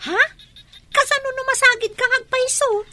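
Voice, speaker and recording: A very high, bright voice of a skeptical young best friend in a radio drama. She is sharp-tongued and throws out questions in disbelief. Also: fairly even intonation, moderate pace, exaggerated voice. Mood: incredulous. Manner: incredulous, exclaiming questions.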